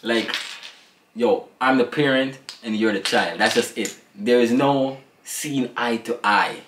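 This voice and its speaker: An animated man with a Jamaican accent talks casually, tossing in slang and laughing often. His voice sits at a middle pitch. The room adds an echo, with faint noise underneath. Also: measured pace. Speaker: family vlogger, co-host. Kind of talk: casual couple chat.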